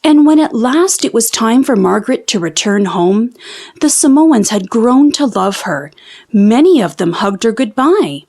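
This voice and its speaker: Lively, higher-pitched woman reading a storybook. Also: a little slow. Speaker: storybook reader. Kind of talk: children's read-aloud narration.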